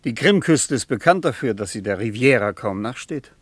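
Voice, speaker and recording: Polite tourist guy smoothing things over, radio play, mid voice, measured. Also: medium pitch, lively intonation, dry recording. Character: Richard, polite, diplomatic male tourist.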